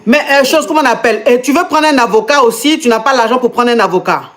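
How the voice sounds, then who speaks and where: This is a woman with an Ivorian French accent who hosts a call-in advice show. Her voice sits somewhat high. She questions the caller over and over, stammering repeats as she struggles to believe the story. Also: quick pace. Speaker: host and coach. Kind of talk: live call-in advice chat.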